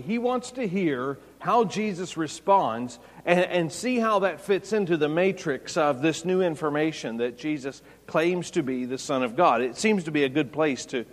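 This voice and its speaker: A man's voice: on the high side, big-room sound. A man preaching a sermon, explaining scripture and raising his voice when quoting. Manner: expository preaching, raises voice for quoted lines. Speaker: pastor.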